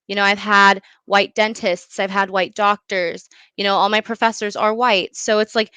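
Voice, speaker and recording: Bright, clear young woman talking enthusiastically. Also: medium pitch, little pitch movement, moderate pace, no room sound, low-quality recording. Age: young adult. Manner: earnest, effusive. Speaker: guest, student playwright. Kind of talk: enthusiastic interview talk.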